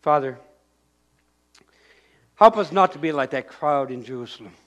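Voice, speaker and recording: Older man, pastor, church sermon, bright forceful voice, animated. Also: fairly high pitch, normal speed, lo-fi sound. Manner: animated preaching, shouting acted-out crowd voices and pointing. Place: church sanctuary.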